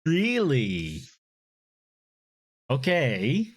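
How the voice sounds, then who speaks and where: A man's voice: medium pitch, huge pitch swings, drawn-out words, slightly rough. Laid-back swearing male streamer. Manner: chatty, swears freely, laughs often. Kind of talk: casual live game stream chat.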